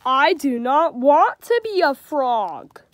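A woman voicing an anxious, easily alarmed male elephant from a picture book, reading slowly and wonderingly. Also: high voice, big pitch swings, exaggerated voice. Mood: worried. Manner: slow, wondering storybook reading.

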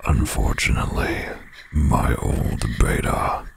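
An actor playing a menacing, sadistic male werewolf pack leader in an audio roleplay, with a breathy growl and a taunting, mock-polite manner. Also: takes it slowly, noisy background, lightly acted. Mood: gloating cruelty. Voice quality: very deep gravelly growl, often breathy. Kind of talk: dramatic audio roleplay acting.

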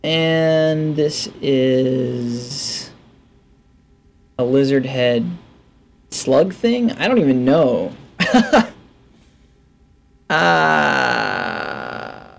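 A man's voice: medium pitch, sing-song, drawn-out words, creaky, long pauses, a little hiss or hum. A man giving casual, offhand gameplay commentary, musing aloud to himself into a headset mic. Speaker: gaming let's player. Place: indoors at a desk.

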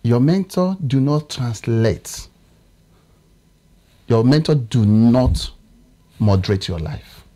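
Man, Nigerian English accent, 30s, halting studio analyst, mid-pitched voice. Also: animated, warm tone. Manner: halting, searches for words. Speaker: political analyst guest. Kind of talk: studio discussion.

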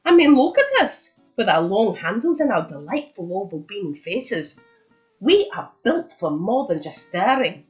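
A woman plays a heroic young wooden spoon from a storybook, rallying his friends with earnest speeches. The pitch swings widely, and music plays under a slightly muffled recording. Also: very animated, measured pace, a little mellow.

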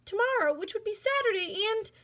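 A woman voicing a cautious, bossy young girl doll in a storybook, scolding in an urgent, hushed way. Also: extremely high pitch, animated, brisk, pitched above the performer's own voice. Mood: urgent warning.

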